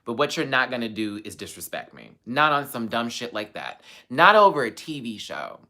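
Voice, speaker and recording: A man's voice: medium pitch, sing-song, normal speed, pushed and bright. A man chatting casually on a livestream as host, venting to viewers in an emphatic, sassy, colloquial way and repeating phrases for stress.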